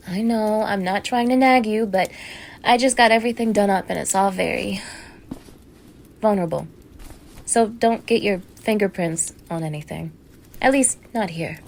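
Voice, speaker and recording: A woman acts out a young neighbour in an intimate ASMR roleplay, speaking at a middling pitch. She is casual and coaxing, teasing with a hushed edge, her friendliness covering an obsessive menace. Clear ambient noise sits behind the voice. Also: lightly acted. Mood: coaxing, fussy.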